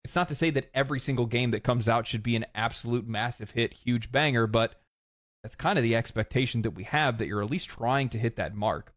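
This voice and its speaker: A man commenting on video games, opinionated and ranting, his voice hoarse and fading. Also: medium pitch, dry recording, clean studio sound. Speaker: gaming commentator.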